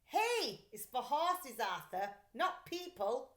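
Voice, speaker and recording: A woman's voice: sits high, very animated, slow pace, fairly bright tone, roomy sound, pitched above the performer's own voice, exaggerated voice. A woman playing a proper, polite father in a storybook, with emphatic, instructive speech. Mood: mildly scolding. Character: proper, polite father correcting his son.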